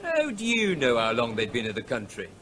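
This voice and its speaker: A working-class seafront trader in a radio play. He is blunt and suspicious, with a forceful, bright voice over faint background noise. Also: mid-range voice, huge pitch swings, brisk. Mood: needling, suspicious. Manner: blunt, needling, slangy. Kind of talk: radio drama acting.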